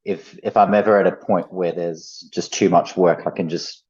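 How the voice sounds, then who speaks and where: Man, thirties, Australian accent, very low voice, casual conversation, dull lo-fi audio. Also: steady tone, normal speed, darkish tone. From Gold Coast, Australia. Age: 30s. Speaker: podcast guest, artist. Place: at home, main living space.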